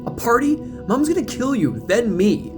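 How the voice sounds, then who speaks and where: A man's voice: extremely high pitch, big pitch swings, normal speed, music in the background, voice pushed up. A man voicing an earnest, fussy, brotherly young small-town deputy in an audio drama. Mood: alarmed.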